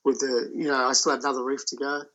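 A man talking about his sailing voyage as an interview guest, telling it in a halting, loose way. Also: medium pitch, flat delivery, bright, forceful tone, dull audio. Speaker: solo sailor guest. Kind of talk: casual interview chat.

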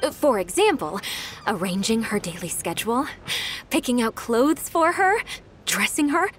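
An eager, devoted young secretary from a game. She explains her duties briskly and earnestly, and her voice moves up and down a lot. Soft music plays behind her. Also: on the high side, lively intonation. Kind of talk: game voice acting.

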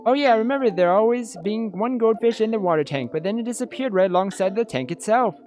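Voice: medium pitch, expressive, fast talker, a little mellow, pitched above the performer's own voice, close to an everyday voice. Wistful young woman from a visual novel, read by a game host, music behind. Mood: wistful, casual.

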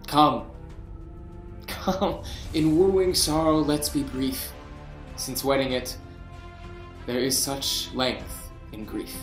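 A man's voice: medium pitch, fairly even intonation, reverberant, soft background music, close to an everyday voice. A man playing a deposed king, reading verse with sorrow and bitterness on a video call. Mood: sorrowful. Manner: slow, mournful verse with sharp swells. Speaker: actor in a staged reading. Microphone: webcam on a video call.

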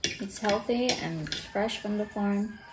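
A woman's voice: medium pitch, a little slow, very noisy. A young woman in her twenties from Cebu with a Filipino accent, her first language Bisaya, chatting casually to a handheld camera at home. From Cebu, Philippines. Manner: relaxed, chatty, says "um" and "like" often. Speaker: vlogger. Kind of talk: casual vlog chat. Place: rural house in the Philippines, indoors and outdoors. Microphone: handheld camera held at arm's length.

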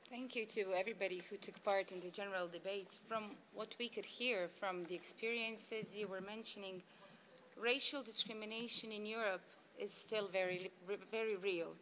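A middle-aged woman with a mid-pitched voice delivers formal closing remarks. She speaks into a conference microphone, with faint noise in the room. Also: steady tone, normal speed, fairly bright tone. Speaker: panelist, EU agency representative. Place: large indoor conference room. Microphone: desk conference microphone.

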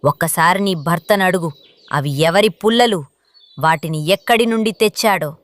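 A woman's voice: on the low side, moderate pace, close to an everyday voice. A woman voicing a haughty, conniving female crow wife in a children's cartoon story. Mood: threatening.

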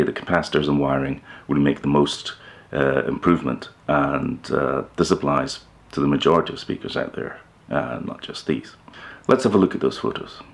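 Very deep-voiced middle-aged man, gravelly. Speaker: presenter of a hi-fi DIY video channel. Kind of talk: instructional talk to camera. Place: living room with hi-fi speakers, indoors.

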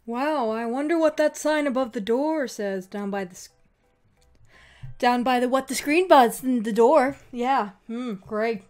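A woman's voice: on the high side, unhurried, a little mellow, soft background music. A woman fumbling an amateur drama line reading, with self-mocking asides. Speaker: amateur voice actor in a fan audio drama.

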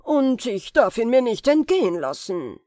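A woman plays a vengeful, scheming sorcerer in a storybook tale. The delivery is forceful and theatrical, with a lively, swooping pitch and a dry, close sound. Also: a little slow, no room sound. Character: wicked vengeful sorcerer, scheming grown man. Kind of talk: fairy-tale audiobook character voice.

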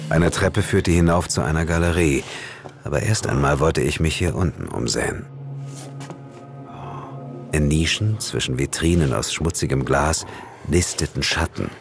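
Very deep-voiced male investigator narrator. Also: lively intonation, measured pace, vocal fry, long pauses, full character voice. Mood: composed suspense. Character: male investigator recounting his eerie case, tense and composed. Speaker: narrator and lead character of a horror audio drama. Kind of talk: scripted first-person horror narration. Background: music.

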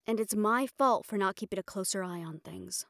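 A woman's voice: medium pitch, creaky, no room sound, close to an everyday voice. Woman acting a proud, exasperated underworld assistant, dry sound. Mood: self-blaming, frustrated. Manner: sighing, exasperated, self-reproachful, pausing. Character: mafia boss's proud, frustrated female human personal assistant. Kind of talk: intimate roleplay audio drama.

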